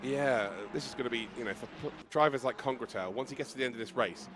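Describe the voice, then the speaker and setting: A man commentating a live sim race with a croaky, strained voice, excitable and joking. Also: medium pitch, fast, noisy background. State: hoarse, losing his voice.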